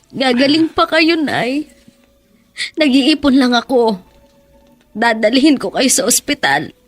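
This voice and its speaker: A woman's voice: sits high, dry recording, full character voice. A woman playing a devoted, tender young daughter with emotional, pleading delivery in a Filipino radio drama. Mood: worried, pleading.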